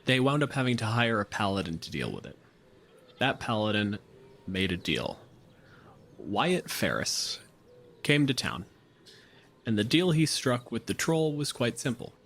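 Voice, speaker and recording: A man's voice: medium pitch, sing-song, unhurried, long pauses, a bit bright. A man hosting as game master, telling the story conversationally with dramatic emphasis as he improvises. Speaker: game master and show host. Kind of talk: improvised tabletop game narration.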